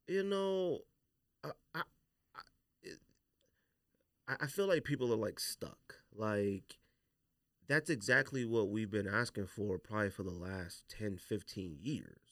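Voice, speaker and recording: A man hosting a podcast, talking casually with slangy, opinionated, animated delivery. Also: lowish voice, measured pace, pauses often, dry recording, clean studio sound. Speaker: podcast host. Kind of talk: casual sneaker podcast debate.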